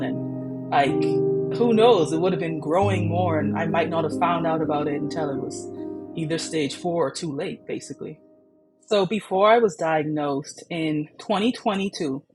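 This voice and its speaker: A young woman from the Bahamas, candidly telling her own patient story in a chatty way. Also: low voice. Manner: chatty, frequent "like" and "you know" fillers.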